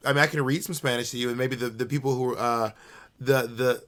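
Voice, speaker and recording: A man's voice: medium pitch, steady tone, a little fast, a bit bright. A man co-hosting a podcast in casual chat, with a teasing, sassy way of talking. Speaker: podcast co-host.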